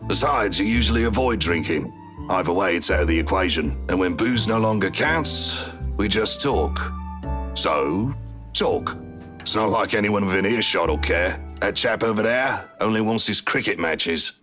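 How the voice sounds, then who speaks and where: A man voicing a gruff, blunt middle-aged barman who teases in colloquial game dialogue. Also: deep voice, expressive, normal speed. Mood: teasing, frank. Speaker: voice actor in a visual novel. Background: background music.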